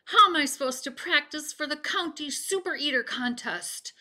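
An indignant, gluttonous storybook eater, played by a woman. The voice roars high and bright, loud with outrage. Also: moderate pace, full character voice. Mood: outraged. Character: indignant, roaring gluttonous eating contestant.

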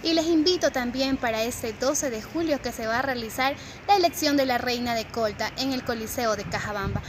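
A woman's voice: fairly high pitch, brisk. A woman in her twenties, a pageant candidate, proudly and formally introducing herself outdoors. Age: 20s. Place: outdoor courtyard. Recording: loud outdoor noise with traffic.

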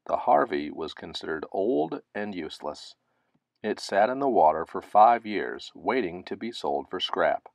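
A man reading a children's picture book aloud, phrasing it deliberately and stressing key words. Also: deep voice, no echo, clean studio sound. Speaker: storybook reader.

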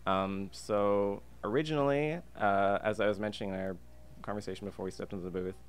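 A man's voice: lowish voice, steady tone, fairly quick. A young adult Canadian man chatting casually as a guest in a podcast interview. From Alberta, Canada. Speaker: podcast guest, freelance illustrator and designer. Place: podcast booth inside a diner. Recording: clear background ambient noise.

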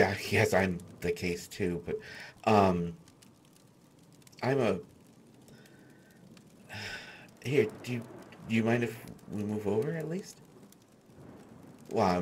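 A man playing a gentle, awkward human artificer in a fantasy audio roleplay, stammering and hesitant as he speaks. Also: low-pitched, breathy edge. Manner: stammering, hesitant, soft-spoken reassurance.

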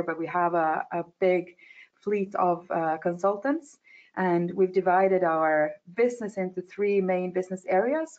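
A woman from Finland presents over a video call, her voice slightly low. She pauses often with fillers as she goes. The audio is dull and lo-fi. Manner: hesitant, many uh fillers. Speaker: climate manager presenting. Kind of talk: webinar presentation.

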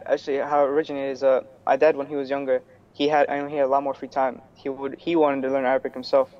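Young guy from new york on a video call, kinda flat monotone voice, dull audio, lots of likes and restarts. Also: medium pitch. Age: young adult. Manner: relaxed, lots of fillers and restarts. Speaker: guest, student. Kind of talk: casual interview over video call. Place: small room indoors. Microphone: earphone headset on video call.

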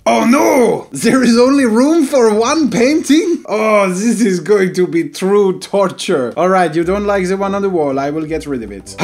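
A man voicing a melodramatic, pompous French artist with an exaggerated fake French accent, hosting game commentary in character. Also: high voice. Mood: dismayed, melodramatic, then wounded, pompous. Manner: theatrical, dramatic, self-mocking. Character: pompous, melodramatic, wine-loving French male artist stuck in tower. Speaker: gaming video host.

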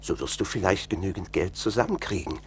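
An actor playing a kindly village man giving measured, instructive advice in a radio play. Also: deep voice. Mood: helpful. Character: kindly village man advising a stranded stranger. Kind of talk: German radio play dialogue. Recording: slightly noisy vinyl record transfer.

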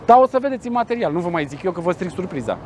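A man in his thirties presenting a car meet outdoors, lively and joking as he talks. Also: high voice. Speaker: car show host. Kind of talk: casual on-location presenting.

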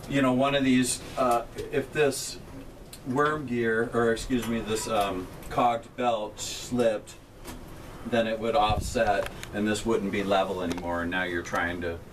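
A middle-aged man, a transmitter technician, explaining equipment in plain technical terms to a tour group inside a metal shelter. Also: fairly low pitch. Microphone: camera held by a visitor a few feet away. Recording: distant camera mic with background hum.

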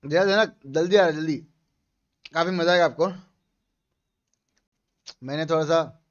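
High-pitched young man streaming. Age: 20s. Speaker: gaming streamer. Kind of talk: casual live-stream chat and game commentary. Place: small room indoors. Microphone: headset. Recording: slightly dull, lo-fi stream audio.

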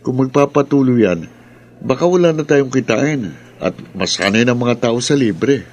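A man's voice: mid-range voice, expressive, moderate pace, darkish tone, slight background noise, natural voice. An actor with a Filipino accent playing a young man, a grumbling buddy who works as an online creator, in a radio drama. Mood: aggrieved. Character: aggrieved male buddy, a struggling online content creator.

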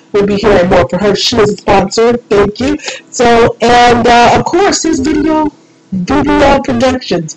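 A woman hosting a show in a casual, unscripted way, chatty and a little halting as she goes. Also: medium pitch, rough recording. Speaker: radio show host.

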